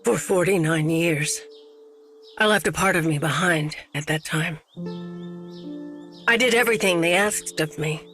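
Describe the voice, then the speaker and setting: An actress playing a haunted, secretive older woman, grave and measured, in a dramatic game cutscene. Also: on the low side, fairly bright tone, soft background music. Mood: regretful. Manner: solemn, measured.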